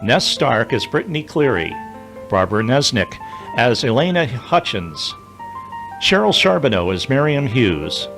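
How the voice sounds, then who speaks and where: Lively male narrator over music. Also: mid-range voice, unhurried. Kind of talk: scripted audio drama narration.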